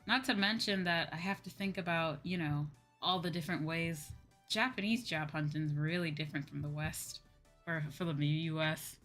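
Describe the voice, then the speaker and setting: A woman's voice: low-pitched, moderate pace, a bit bright. A woman talking casually to viewers on a livestream, informal and conversational, peppering her talk with "like" and "you know". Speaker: VTuber livestream host. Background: background music.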